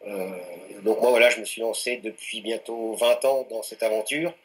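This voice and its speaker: A man's voice: lowish voice, steady tone, moderate pace, poor audio. Hesitant middle-aged French man. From France, Anjou region. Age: 40s. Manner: hesitant, many fillers and restarts. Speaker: mountaineer guest. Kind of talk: casual interview answers.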